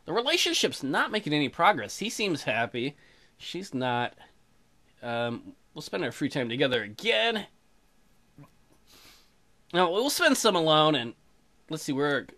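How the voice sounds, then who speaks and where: A man's voice: a little high, sing-song, moderate pace, long pauses, sharp tone. A man doing casual, crude, joking commentary on a visual novel game, slipping into sing-song asides. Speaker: let's player. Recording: music behind. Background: game music.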